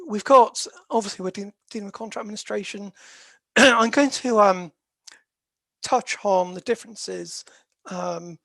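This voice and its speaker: A dispute resolution specialist giving a professional webinar talk in a croaky voice, hesitant and filling pauses with many "um"s as they explain things steadily. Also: medium pitch, no room sound. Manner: hesitant, with very frequent "um" fillers; explanatory, steady lecturing delivery.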